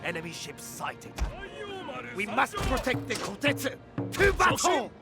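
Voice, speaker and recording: High-pitched, urgent military officer. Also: male voice, wildly swooping pitch, measured pace, over loud music, heavily acted. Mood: alert. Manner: urgent, dramatic, deferential. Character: excitable loyal Japanese military officer reporting to his lord. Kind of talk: cinematic strategy game acting.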